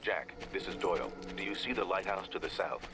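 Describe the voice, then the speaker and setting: An actor playing a calm intelligence operative who gives matter-of-fact mission instructions in a video game. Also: male voice, sits low, a little fast, background noise, close to an everyday voice. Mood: urgent briefing.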